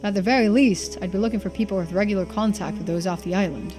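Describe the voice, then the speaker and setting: Woman playing young man, calm, confident, matter-of-fact, mid-range female pitch, video game dialogue, music underneath. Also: fast talker, lightly acted. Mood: shrewd, calm. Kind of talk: voicing game dialogue in a playthrough.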